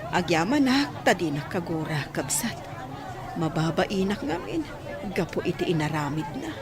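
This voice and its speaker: A woman voices a middle-aged mother in an audio drama, apologetic and ashamed. Her voice is slightly low and hesitant, with clear crowd sounds behind it. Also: very animated, normal speed. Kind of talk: radio-style audio drama acting.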